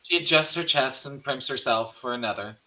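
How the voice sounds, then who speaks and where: A man's voice: medium pitch, a little fast, sharp tone, poor audio. A man narrating stage directions from a script at a table read, reading plainly and occasionally stumbling over words.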